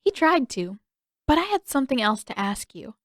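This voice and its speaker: A woman's voice: high-pitched, big pitch swings, moderate pace, no echo, studio quality, pitched above the performer's own voice. A woman voicing a blunt, skeptical teenage girl with matter-of-fact retorts, in a story reading. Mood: questioning.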